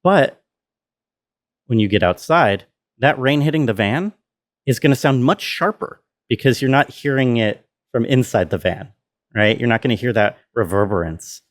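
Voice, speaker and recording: A man's voice: mid-range voice, lively intonation, normal speed. A man giving a casual tutorial as a sound designer, explaining step by step and checking in with the listener.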